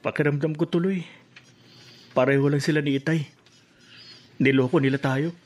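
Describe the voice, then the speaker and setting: A man's voice: a little high, steady tone, moderate pace, a little mellow, natural voice. A man with a Filipino accent playing an earnest, hurt young son in a radio drama. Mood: hurt, brooding. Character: earnest, hurt, indignant young son confronting his father.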